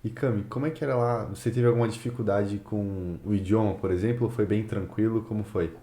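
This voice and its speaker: A man's voice: lowish voice, fairly even intonation, fast talker, mellow, echoey room. A man who hosts a podcast, chatting casually with slangy, joking banter. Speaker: podcast host. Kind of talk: casual chat.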